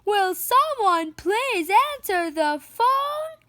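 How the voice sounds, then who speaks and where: A loud mother of a noisy picture-book family, put on by a woman reader. She hollers brashly at a very high pitch, stretching her words slowly. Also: big pitch swings, exaggerated voice. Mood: demanding. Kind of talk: children's picture book read-aloud.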